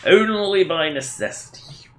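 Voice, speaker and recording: A man's voice: high-pitched, animated, a little slow, a little hiss or hum. A man doing casual live game commentary, rambling and self-deprecating as he chats to his viewers. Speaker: game livestreamer.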